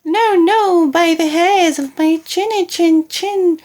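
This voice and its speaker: Woman doing a high, squealing little pig. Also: slow, a little mellow. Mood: defiant. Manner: squealing, pleading, indignant. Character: small, frightened but cheeky male little pig. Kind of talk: children's storybook character voice.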